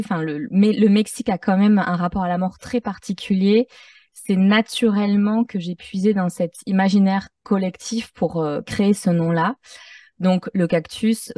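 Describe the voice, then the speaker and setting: A thoughtful woman guest on a podcast, her mellow voice picked up a little away from the microphone in a dry room. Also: mid-range voice, dry recording, recorded from a distance. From Mexico-born, French-Mexican. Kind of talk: conversational interview.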